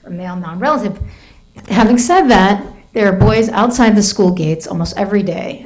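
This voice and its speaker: A middle-aged woman giving an academic talk about her book, telling classroom stories with lots of hedging and restarts. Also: medium pitch. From United States. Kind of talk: academic lecture with anecdotes.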